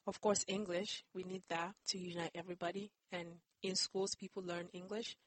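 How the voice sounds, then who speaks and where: A woman's voice: lowish voice, flat delivery, normal speed, no echo. A woman from Nigeria whose first language is Yoruba, answering interview questions in a careful, explanatory way and often hedging with "I believe". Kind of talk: conversational interview.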